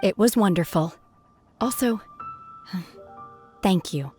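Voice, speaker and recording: An actress playing an aloof, solemn young female character in a video game, speaking formally with deadpan restraint. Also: medium pitch, slow. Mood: sincere, subdued. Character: aloof, solemn, blunt young warrior woman. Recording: music under the voice.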